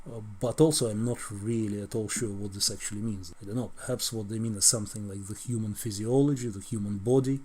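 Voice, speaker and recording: A man's voice: fairly low pitch, fairly even intonation, quick pace, few pauses, warm tone, a little hiss or hum. A middle-aged man with a Russian accent giving a wry, conversational lecture on translation, often ending points with tag questions. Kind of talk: explanatory video lecture.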